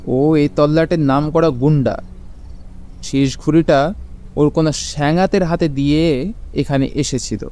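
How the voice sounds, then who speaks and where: A man playing a calm, eerie ghost in an audio horror drama, speaking in measured phrases with ominous pauses. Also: a little high, close to an everyday voice. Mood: slow, haunted recollection. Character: calm, eerie male ghost avenging his own murder. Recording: long hall-like reverb.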